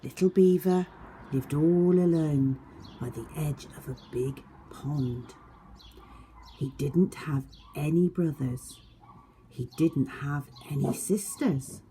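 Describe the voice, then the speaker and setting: A woman's voice: low voice, warm tone, a little hiss or hum. A woman reading aloud as a teacher at children's storytime, speaking expressively to young listeners. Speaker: schoolteacher reading stories to a class.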